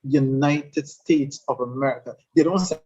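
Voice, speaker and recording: A man's voice: mid-range voice, moderate pace, mellow. A man with a Caribbean English accent who talks in an emphatic, repetitive, lecturing way in a conversational discussion. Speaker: guest speaker on leadership. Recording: dull lo-fi sound.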